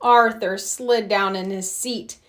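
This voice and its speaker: A woman reading a children's storybook aloud with expressive, deliberate narration. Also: medium pitch. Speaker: storybook reader.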